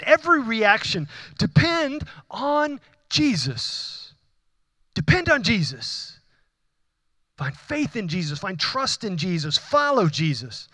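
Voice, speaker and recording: A man preaching from the pulpit, his voice bright and tense and pitched a little high. He drives his points home with repeated phrases that swell in force. The sound is dry and close, with little room around it. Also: no room sound. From Houston, Texas. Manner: impassioned, repetitive preaching with rising emphatic runs. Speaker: pastor. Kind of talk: sermon. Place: church.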